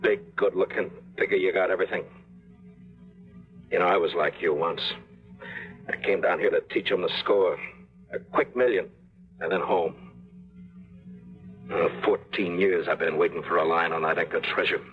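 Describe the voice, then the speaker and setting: An actor playing a scheming, aging and tired treasure hunter in a 1950s radio drama, talking in a wheedling, sly drawl. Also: male voice, low voice, fairly even intonation, moderate pace, pauses often, faint music behind, lightly acted. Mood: pleading. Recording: lo-fi old radio broadcast.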